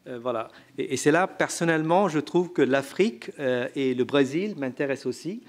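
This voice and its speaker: A man's voice: a little high, lively intonation, normal speed. A man on a conference panel answering an audience question, hesitant and full of fillers and restarts.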